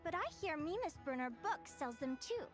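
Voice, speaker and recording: A woman's voice: high voice, animated, moderate pace, over loud music. A woman voicing an eager, bubbly young guild advisor who lectures with gushing enthusiasm, in a video game. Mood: lecturing. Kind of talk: game voice acting. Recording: game soundtrack behind.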